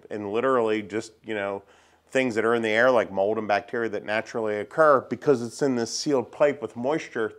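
A middle-aged man hosting a home builder show, casually explaining the heating and cooling system as he walks through an unfinished house. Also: medium pitch. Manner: plain, practical explaining with fillers. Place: unfinished wooden house under construction, indoors.

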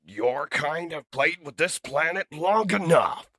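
A man voicing a noble male robot leader with booming, forceful declarations. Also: takes it slowly, no room sound, clean studio sound. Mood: commanding.

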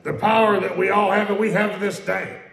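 A pastor preaching a conversational sermon in a church, asking questions, repeating them and quoting scripture slowly. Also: male voice, high-pitched, fairly even intonation, vocal fry, a crowd in the background. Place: church sanctuary. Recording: echoey church room.

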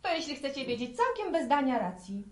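A woman's voice: sits high, big pitch swings, a little fast. An actress playing a pert, impatient young woman from town in a radio drama, leaning on a repeated pet phrase. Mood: impatient, huffy. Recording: roomy, distant, faint noise.

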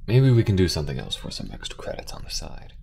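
Man playing a very deep, gravelly space courier. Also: sing-song, quick pace, a little mellow, slight background noise, exaggerated voice. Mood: warm, adventurous. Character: weary, tender alien space courier, roguish adventurer. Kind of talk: intimate audio roleplay acting.

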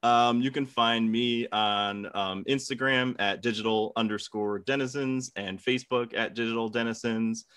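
A man's voice: mid-range voice, fairly even intonation, moderate pace, few pauses, a bit bright, smooth. A man chatting casually on a video call as a debate contestant and podcaster. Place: indoor room at home.

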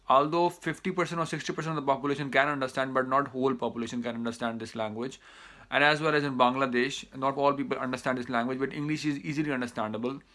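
A man with a Pakistani accent and Urdu as his first language talks straight to a camera in a small indoor room. His voice is bright and forward, at an average pitch for a man. He stops often to fill gaps with "uh" and to restart his sentences. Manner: hesitant, many "uh" fillers and restarts. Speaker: vlogger giving advice to students. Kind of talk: casual advice monologue to camera.

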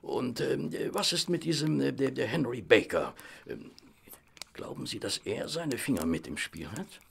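An actor playing an earnest, admiring gentleman companion in an audio drama, hesitant and musing as he talks and narrates. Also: medium pitch, lively intonation, unhurried, gravelly, slight background noise. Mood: hesitant, curious.

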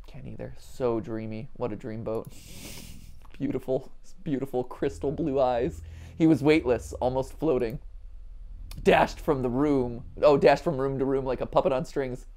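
A man in his thirties hosting a gaming stream with casual, animated, joking reaction commentary full of exclamations. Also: mid-range voice, moderate pace. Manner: animated, jokey asides, exclamatory. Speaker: livestream gaming host. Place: small furnished room, on a couch.

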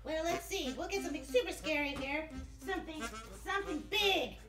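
A man voicing an excitable, childlike little ghost puppet in a children's puppet show, whiny, exclaiming and repeating himself. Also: very high-pitched, fairly quick, roomy sound, over music. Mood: determined.

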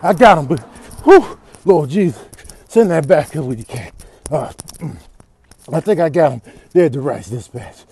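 A man's voice: fairly high pitch, expressive, moderate pace, a little mellow, husky. A man voicing an exasperated veteran cop with a grumbling, folksy manner in a comedic voiceover. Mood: harried, pleading. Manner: grumbling, world-weary, folksy. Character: weary veteran male cop on a foot chase, exasperated. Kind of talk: comedic improvised video voiceover.

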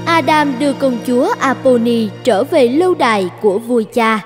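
A woman's voice: on the high side, lively intonation, normal speed, faint music behind, natural voice. A woman narrating a scripted children's fairy tale.

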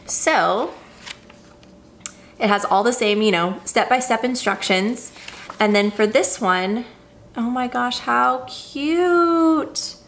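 A woman's voice: a little high, slow, pauses often, fairly bright tone, a little hiss or hum. A woman in her thirties chatting through an unboxing in her home kitchen, upbeat and gushing over each item. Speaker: vlogger. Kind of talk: casual unboxing chat.

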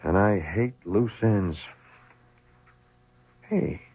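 An actor playing a shrewd, dogged police lieutenant with a dry, probing manner in a 1970s radio drama. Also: male voice, fairly low pitch, drawn-out words, breathy edge, exaggerated voice. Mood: brisk, intent. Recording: muffled old radio broadcast.

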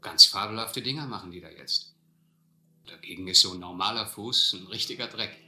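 An actor playing a courteous, humane gentleman sailor in a radio play, speaking politely and earnestly. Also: sits low, fairly quick. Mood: reassuring. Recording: echoey old recording with faint noise.

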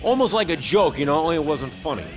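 Guy doing a sarcastic pushy dealer, talks fast, super animated, music underneath, game acting. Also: a little high, natural voice. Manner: sarcastic, needling. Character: sardonic, pushy, fast-talking male criminal dealer. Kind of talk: video game cutscene acting.

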